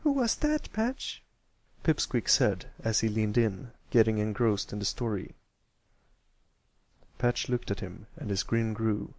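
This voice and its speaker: Creaky-voiced man narrating a story. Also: fairly low pitch, fairly even intonation, a little slow, darkish tone, no room sound. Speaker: amateur fan fiction reader.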